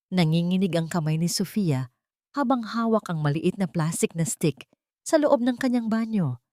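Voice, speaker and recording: A woman storyteller with a fairly low voice reads a scripted audio drama. Her delivery is emotive and suspenseful, with wide melodic movement. The sound is dry and close, with no room around it. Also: darkish tone, no echo.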